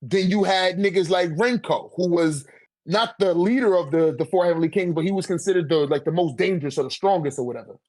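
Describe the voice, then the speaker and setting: A man's voice: high-pitched, fairly quick, darkish tone. A man in a casual debate, talking in heavy slang with swearing and a repeated check that the listener follows. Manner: slangy, profane, repeats "you know what I'm saying". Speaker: debater on a manga ranking podcast.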